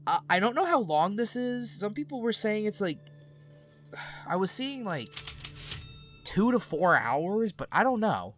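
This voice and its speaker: A man's voice: high voice, big pitch swings, unhurried, long pauses, fairly bright tone, faint music behind. A young adult man hosting a let's play, rambling in a casual, chatty way, a bit sniffly from allergies. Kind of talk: casual gameplay commentary.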